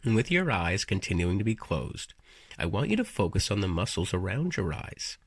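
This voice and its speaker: A man narrating a guided meditation as a story, with deliberate, soothing, hypnotic delivery and storyteller flourishes. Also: low-pitched, very animated, slightly rough, dry recording, clean studio sound.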